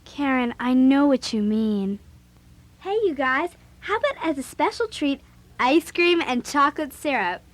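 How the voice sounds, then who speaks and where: Earnest bossy kid babysitter in an audio drama, highish voice, really swoopy and expressive. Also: female voice, a little slow, no room sound. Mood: earnest, reassuring, then conspiratorial, upbeat. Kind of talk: audio drama acting and first-person narration.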